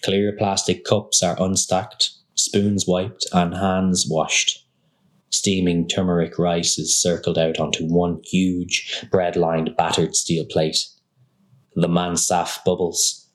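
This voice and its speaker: A man reading a literary text aloud as scripted narration, in measured, deliberate phrases with pauses. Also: low-pitched, little pitch movement.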